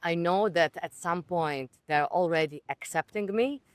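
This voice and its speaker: A woman from Ukraine, a podcast guest, telling her own story in an earnest, reflective way with some halting restarts. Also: on the low side, no echo. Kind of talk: personal storytelling in conversation.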